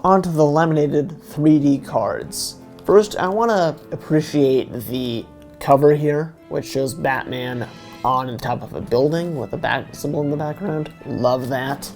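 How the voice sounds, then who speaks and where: A man narrates a scripted review with earnest enthusiasm. His mellow voice has plenty of fry and swings widely in pitch. Faint music plays behind him. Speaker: video reviewer.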